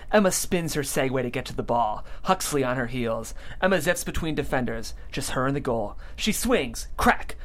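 A narrator reading stage directions at a script table read in an expressive, amused way. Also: medium pitch.

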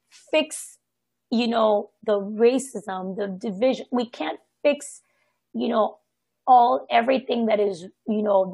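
A woman from Haiti giving a casual, reflective personal account, halting and often circling back to correct herself. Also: on the high side, dark tone, breathy edge, dry recording, rough recording. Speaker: participant sharing personal experience in a group discussion.

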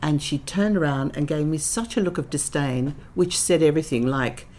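A woman's voice: low voice, normal speed, darkish tone, slight background noise. A middle-aged woman, Dutch-born, talking as an interview guest and telling stories with reflective, dry humour. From Netherlands. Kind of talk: candid interview conversation.

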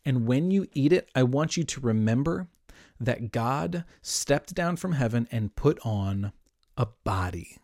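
Hushed, earnest teacher figure at a supper table, dry close sound, as a man quotes him in his own voice. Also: mid-range voice, lively intonation, measured pace, no echo.

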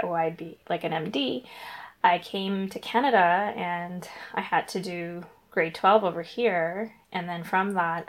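Chatty young woman from Guyana. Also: on the low side. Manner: chatty, frequent ums, laughs through stories. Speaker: interview guest, graduate researcher. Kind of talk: casual podcast interview.

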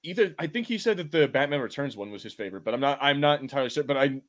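A man hosting a casual watch-party commentary, talking with enthusiasm in a halting, repetitive way. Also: mid-range voice, expressive, a bit bright. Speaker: podcast host.

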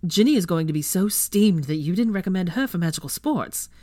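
A woman's voice: fairly low pitch, expressive, brisk, mellow, dry recording, clean studio sound. Earnest young male wizard, read by a woman, plain, friendly.

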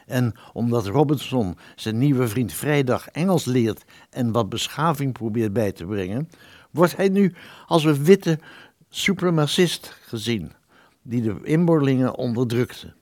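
Casting: man as ghost of a centuries-old male writer, radio drama, mid-pitched, formal and aggrieved, dry sound. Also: lively intonation, unhurried, a little mellow, dry recording, natural voice. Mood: wronged, earnest. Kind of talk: radio play acting.

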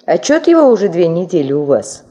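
Wry, mellow middle-aged secretary. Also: female voice, fairly low pitch, sing-song, quick pace, dull audio. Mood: teasing, familiar. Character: wry, familiar middle-aged female office secretary, self-mocking. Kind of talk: radio play acting.

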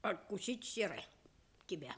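A woman's voice: mid-range voice, sing-song, unhurried, breathy edge, full character voice. An actress playing a roguish, streetwise village boy in a radio play, talking in an offhand, folksy, boyish way.

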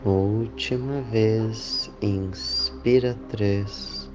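A man leading a guided meditation, speaking in deliberate phrases with long pauses. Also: fairly low pitch, fairly even intonation. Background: clear background music.

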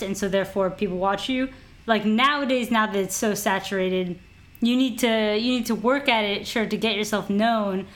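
A woman co-hosting a podcast, chatting casually and jumping into the conversation. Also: mid-range voice. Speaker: podcast co-host. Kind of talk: casual chat.

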